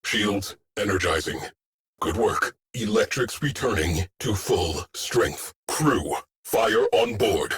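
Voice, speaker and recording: An actor playing a terse, stoic military starship AI in a video game, giving clipped, deadpan orders and status callouts. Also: male voice, fairly low pitch, slow pace. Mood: stern, clipped. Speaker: ship's tactical AI officer.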